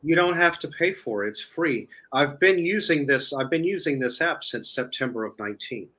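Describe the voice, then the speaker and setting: A man's voice: fairly high pitch, expressive, normal speed, sharp tone. A man explaining an app as a guest in casual chat, rambling and repeating himself. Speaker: guest demonstrating a phone app.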